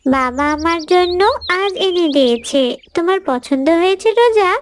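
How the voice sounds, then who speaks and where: Lady doing a sweet polite little cartoon schoolgirl, super high voice, really lilting, dry sound. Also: lively intonation, moderate pace, soft background music. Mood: friendly. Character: gentle, pious little schoolgirl, kind and obedient. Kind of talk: cartoon dialogue.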